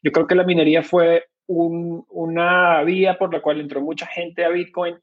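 High-voiced Venezuelan man, husky. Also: flat delivery, moderate pace, lo-fi sound. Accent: Venezuelan Spanish. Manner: explanatory, many fillers and restarts. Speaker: guest, crypto company cofounder. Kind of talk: conversational interview answers.

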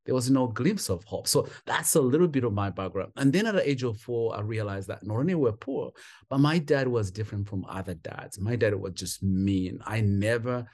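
A man's voice: lowish voice, expressive, normal speed, darkish tone. A man from Uganda, a guest on a podcast, telling his life story in an emphatic, heartfelt way. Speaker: guest, foster parent. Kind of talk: interview storytelling.

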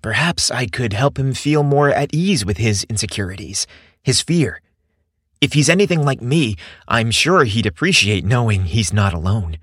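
Man acting out shy young man, audiobook romance, kind, hesitant, soft-spoken, nervous, close dry sound. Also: medium pitch, expressive, moderate pace, slightly rough, no echo. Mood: sympathetic.

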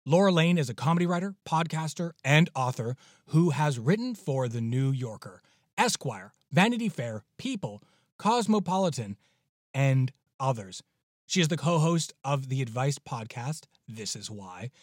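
A man's voice: medium pitch, no room sound, studio quality. A man hosting a podcast, giving an enthusiastic introduction in an effusive, emphatic way. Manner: effusive, emphatic with repeated words. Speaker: podcast host.

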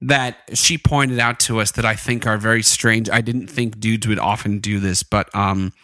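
A man's voice: lowish voice, fairly even intonation, moderate pace, sharp tone, no echo. A man co-hosting a comedic podcast, joking, laughing often and reading out listener messages. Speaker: podcast co-host. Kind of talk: casual comedic podcast chat.

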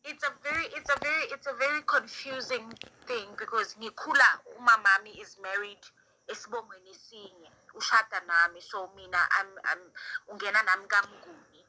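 A woman in her late twenties with a squeaky voice, chatting as a guest about being a traditional healer, animated and laughing, mixing English with Zulu. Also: a little fast, rough recording. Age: late 20s. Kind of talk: casual chat.

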